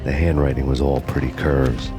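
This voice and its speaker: An actor voicing a bitter, brooding fugitive cop in a grave, deadpan noir narration for a video game. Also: male voice, very deep voice, moderate pace, over music. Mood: grim deadpan.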